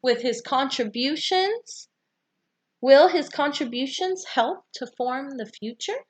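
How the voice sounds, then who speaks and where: A woman with a high voice reads aloud from a children's nonfiction book. Her delivery is that of read-aloud narration for young listeners. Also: lively intonation, slow pace.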